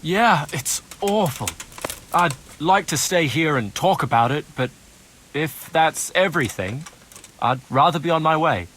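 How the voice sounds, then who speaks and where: An actor playing an ingratiating, glib turncoat informant in a video game cutscene. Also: male voice, on the high side, expressive, a little slow, fairly bright tone, slight background noise. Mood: relieved, ingratiating. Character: slippery male turncoat informant bargaining for his freedom.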